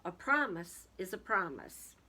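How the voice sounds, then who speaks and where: Woman as male moose, hearty, polite but insistent, exclaiming, slow-paced, children's storybook. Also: fairly low pitch, very animated, exaggerated voice. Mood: apologetic, insistent. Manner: calls out loudly, exclaims. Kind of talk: children's storybook read-aloud.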